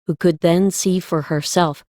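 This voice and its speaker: A woman's voice: fairly low pitch, fairly even intonation, unhurried, dark tone, no room sound, studio quality. A woman narrating a scripted story as an audiobook reader.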